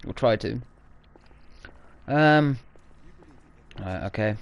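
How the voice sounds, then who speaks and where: A man with a mid-pitched voice gives gameplay commentary. He is informal and sweary, reacting off the cuff as host of a gaming video. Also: lively intonation, extremely slow, clear, resonant tone.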